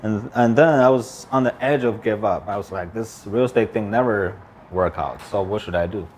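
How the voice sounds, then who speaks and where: A man's voice: fairly low pitch, normal speed, darkish tone, a little hiss or hum. A man in his thirties from China with a Chinese accent, speaking plain, slightly broken English as an interview guest. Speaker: guest, land investor. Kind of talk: casual interview.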